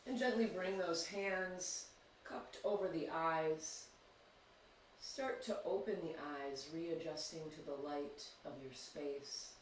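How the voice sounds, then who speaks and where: A man leading a guided yoga meditation, speaking slowly and soothingly with long pauses. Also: on the high side, a little hiss or hum. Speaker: yoga teacher. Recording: very echoey, distant mic.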